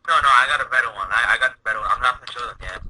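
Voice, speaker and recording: A man's voice: mid-range voice, racing, background noise. A young adult man in casual chat on a voice call, commenting as a listener.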